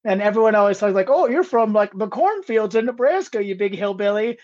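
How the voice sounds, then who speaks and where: A man's voice: sits high, expressive, brisk, darkish tone. A man from Ohio, a stand-up comic, chatting casually and rambling on a podcast. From Ohio, US Midwest. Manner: rambling, laughs easily. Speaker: stand-up comedian, guest. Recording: dull lo-fi sound.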